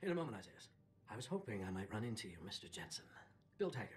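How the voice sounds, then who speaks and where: An actor playing a smooth, grave activist leader in a video game, formal and condescending. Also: male voice, lowish voice, very animated. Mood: polite, grave. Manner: formal, measured rhetoric, condescending. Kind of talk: video game cutscene acting.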